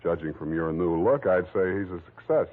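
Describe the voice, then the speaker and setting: An actor playing a cynical, world-weary male private detective with wry, clipped, deadpan delivery in 1940s radio noir style. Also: low voice, moderate pace. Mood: cynical, guarded. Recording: tinny vintage 1940s radio recording with music.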